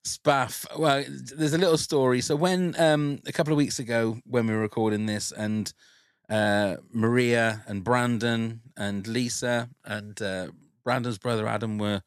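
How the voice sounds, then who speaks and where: A man's voice: mid-range voice, steady tone, measured pace, clear, resonant tone, dry recording. British man chatting on a dry-sounding podcast. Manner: jokey, freely sweary. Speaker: podcast host. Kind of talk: casual podcast chat.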